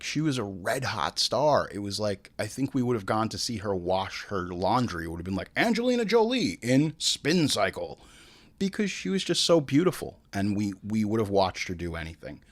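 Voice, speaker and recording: A man's voice: lowish voice, vocal fry. A man hosting a show with casual, opinionated film commentary, swearing freely and acting out imagined bits of dialogue. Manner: informal, frequent swearing. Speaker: show host.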